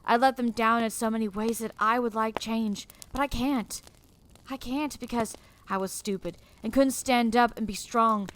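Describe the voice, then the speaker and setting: A woman's voice: a little high, fairly even intonation, moderate pace, a bit bright, slight background noise, lightly acted. A woman performing a sombre, regretful teacher who narrates a dramatic first-person story. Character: sombre, regretful teacher haunted by lost students.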